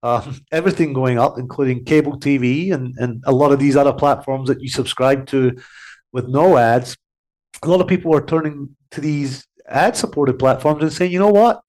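A man's voice: medium pitch, normal speed, a little mellow, rough recording. A man with a head cold and a congested voice, chatting as a radio guest in a rambling way with many fillers. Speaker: TV series host as guest. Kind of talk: casual radio interview chat.

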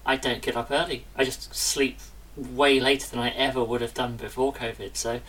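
Woman, podcast co-host, bright tone, a touch off-mic, chatty and self-correcting. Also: very deep voice, moderate pace, slightly rough, recorded from a distance, low-quality recording. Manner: chatty, self-interrupting, lively. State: recovering from illness. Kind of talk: casual chat.

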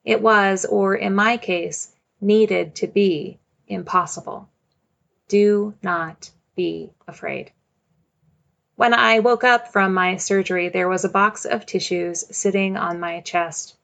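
Creaky-voiced woman telling a personal story. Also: mid-range voice, steady tone, fairly bright tone. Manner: measured, reflective, with a repeated refrain.

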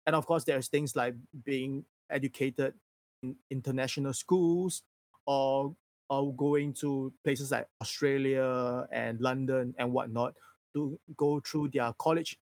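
A man from Singapore, a native Mandarin speaker with a Singaporean accent, chatting casually and candidly on a livestream in an animated way. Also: medium pitch, no room sound.

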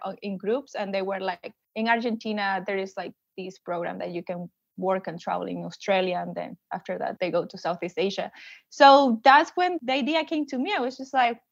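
A woman's voice: a little high, dry recording, muffled recording. A woman from Venezuela with a Venezuelan Spanish accent, a podcast guest chatting casually and laughing often. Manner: animated, laughing often, small restarts. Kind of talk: casual interview chat.

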